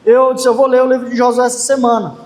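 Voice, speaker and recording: High-voiced preacher in echoey hall. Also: male voice, flat delivery, fast. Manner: emphatic, often raising his voice on key phrases. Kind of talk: sermon. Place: church hall. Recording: echoey church hall with long reverb.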